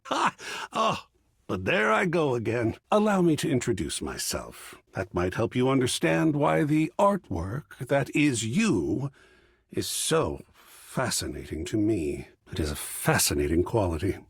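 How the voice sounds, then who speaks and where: Wildly swooping, theatrical living artwork. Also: male voice, mid-range voice, sing-song, a little slow, slightly rough, no room sound, clean studio sound, full character voice. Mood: whimsical, effusive. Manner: theatrical, florid, effusive. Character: whimsical, pretentious, exuberant living artwork, an adult male. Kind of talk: video game character acting.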